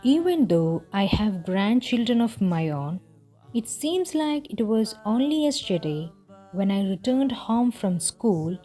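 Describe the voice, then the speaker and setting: A woman narrates a storybook read-aloud for language learners. She reads slowly and deliberately, with clear phrasing and a mid-range pitch. Soft music plays faintly behind her voice. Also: sing-song.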